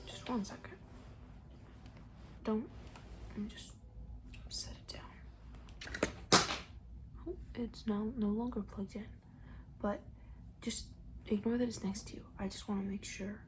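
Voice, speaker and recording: Soft, half-whispering woman in casual ASMR. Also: medium pitch, steady tone, measured pace, pauses often, background noise. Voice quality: soft, breathy, often dropping to a whisper. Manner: gentle, chatty, colloquial, rambling. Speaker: ASMR roleplay performer playing a camp counselor. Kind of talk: soft-spoken ASMR roleplay, casual chat. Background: banjo.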